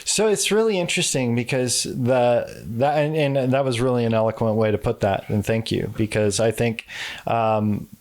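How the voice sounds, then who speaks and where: A man hosting a podcast interview, talking in a halting way with many fillers and restarts. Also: medium pitch, darkish tone. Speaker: podcast host. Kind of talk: conversational interview.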